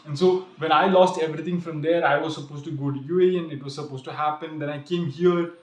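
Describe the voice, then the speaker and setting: An earnest young man talks straight to the camera in a loose, rambling way, stacking "like" and "literally" as he goes. His voice sits a bit high for a man. The sound comes from a small room with a noticeable echo. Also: fairly even intonation, fast talker. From grew up in the UAE. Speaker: vlogger. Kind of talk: casual vlog monologue. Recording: echoey room.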